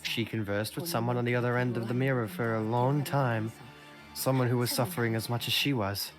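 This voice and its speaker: A man's voice: mid-range voice, steady tone, brisk, over music, close to an everyday voice. A man voicing a bitter, suffering old woman servant, telling her story solemnly in an amateur game dub. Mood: solemn, wistful.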